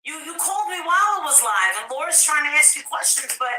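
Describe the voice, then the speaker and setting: A woman's voice: high voice, very animated, quick pace, bright, forceful tone, echoey room, recorded from a distance, rough recording. A woman hosting a livestream who keeps reassuring a caller that it's okay. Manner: soothing, reassuring. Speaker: livestream host. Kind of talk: casual phone chat on a livestream.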